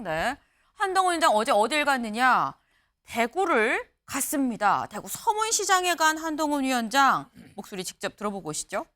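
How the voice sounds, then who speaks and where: A woman's voice: high-pitched, fairly bright tone. A woman news anchor hosting a morning news talk show, chatty and laughing with her guests. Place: TV studio.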